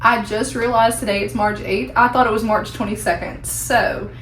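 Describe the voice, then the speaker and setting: A young woman chats to the camera at home in a rambling, filler-heavy way, her voice hoarse and nearly gone. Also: fairly high pitch, steady tone, moderate pace, fairly bright tone, echoey room, a little hiss or hum, rough recording. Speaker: vlogger. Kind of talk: casual chat to camera. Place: at home indoors.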